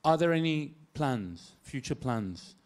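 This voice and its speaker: Man from the audience asking a long earnest question at a conference, mid-pitched. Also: animated, slow. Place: conference hall.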